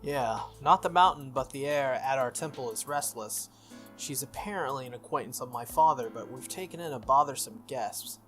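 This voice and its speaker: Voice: mid-range voice, moderate pace, fairly bright tone, soft background music, lightly acted. A game player voicing an earnest, stern teenage boy who is student council president, formal and scolding. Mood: serious, troubled.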